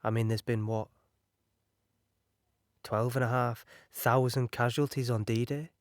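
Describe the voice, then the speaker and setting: An actor playing a British infantryman in an audio drama, recalling the war in a measured, matter-of-fact, musing way. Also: mid-range voice, fairly even intonation, smooth, no room sound, clean studio sound. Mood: sombre, musing. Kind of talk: audio drama monologue, conversational recollection.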